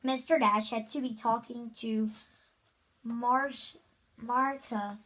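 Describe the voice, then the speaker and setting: High, bright female voice reading aloud haltingly. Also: fairly even intonation, low-quality recording. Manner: halting, stumbling over and repeating words. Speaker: amateur reader hosting a read-aloud video. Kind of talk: reading a children's novel aloud with casual asides.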